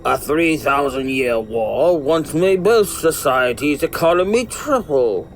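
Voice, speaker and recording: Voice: mid-range voice, animated, slow pace, slight background noise. A performer playing a haughty, conspiratorial otherworldly ruler in an audio drama, pompous and theatrical in delivery. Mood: imperious, approving.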